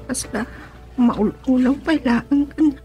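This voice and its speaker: A woman's voice: high voice, slow pace, mellow. An actress playing a weak, ailing young woman pleading in a radio drama, with a Filipino accent. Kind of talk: Ilocano radio drama acting. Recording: dry studio, faint music behind.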